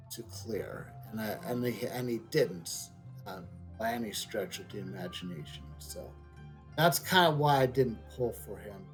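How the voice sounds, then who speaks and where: A man giving casual game commentary as a streamer, thinking aloud in a halting way. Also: mid-range voice.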